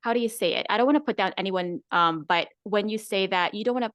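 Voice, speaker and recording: A woman's voice: medium pitch, quick pace, a bit bright, no echo, highs cut off. A woman from the Philippines, a guest content creator chatting casually in an interview, filling her talk with "like" and "right?". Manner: chatty, many "like" and "right?" fillers.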